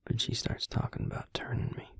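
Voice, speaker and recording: A man playing a bitter, wounded vampire recalling his trauma in an intimate audio roleplay, speaking in a halting, breathy whisper. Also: no room sound, clean studio sound, lightly acted. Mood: distressed, hushed. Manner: halting, hushed, with heavy pauses. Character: wounded, bitter male vampire, once a healer, recounting trauma.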